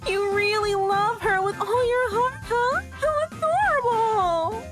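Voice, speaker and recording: A woman voicing a giddy, teasing young woman with a squeaky, sing-song voice, reading a game character aloud. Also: takes it slowly. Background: game music.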